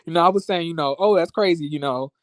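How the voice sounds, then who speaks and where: A man with an American accent chatting casually as a livestream host, in a sassy, expressive, slangy conversational way. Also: a little high, quick pace, no room sound. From United States, born in rural Florida and raised in Las Vegas. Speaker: YouTube reviewer and livestream host. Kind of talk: casual livestream chat with viewers.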